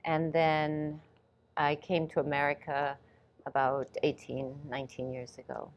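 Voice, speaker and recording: Low-voiced woman raised in England. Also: level tone, unhurried, muffled recording. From Ghana, raised in England. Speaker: guest, movement and reflex specialist. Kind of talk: interview answers.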